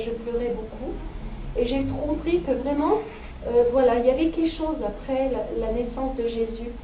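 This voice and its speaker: A woman from France gives an unscripted personal testimony, haltingly, searching for words and repeating herself. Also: on the high side. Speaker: religious convert giving a testimony. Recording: roomy, noisy, muffled, distant microphone.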